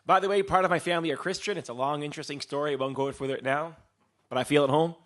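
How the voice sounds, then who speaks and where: A man from Israel giving a live lecture as a storyteller, posing rhetorical questions and pausing for dramatic emphasis. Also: fairly high pitch, fairly bright tone. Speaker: public speaker, lecturer.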